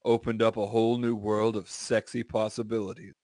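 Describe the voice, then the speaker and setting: A man's voice: on the low side, level tone, fairly quick, darkish tone, no echo. Man reading aloud with profane banter, dry sound. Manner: informal, profane. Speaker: podcast co-host reading a letter aloud.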